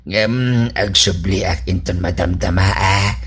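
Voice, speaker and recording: A man's voice: deep voice, steady tone, measured pace, fairly bright tone, breathy edge, exaggerated voice. A man playing a bashful, eager older in-law with a stammering, hesitant way of talking, in an Ilocano radio comedy drama.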